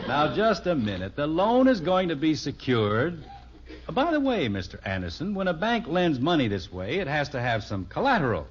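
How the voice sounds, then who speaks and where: A man's voice: fairly high pitch, wildly swooping pitch, moderate pace, background noise. A muffled old radio recording of a middle-aged American father in a family sitcom. His lightish voice swings freely as he patiently plays pompous banker to his children. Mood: mock-formal, patient. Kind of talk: 1950s radio sitcom acting.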